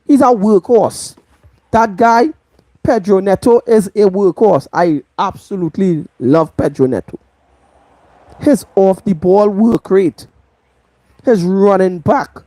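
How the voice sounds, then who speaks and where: Trinidadian woman, football vlog host, unhurried and emphatic, dry close sound. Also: mid-range voice, mellow, dry recording. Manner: emphatic, repeats points for stress. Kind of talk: live football match review.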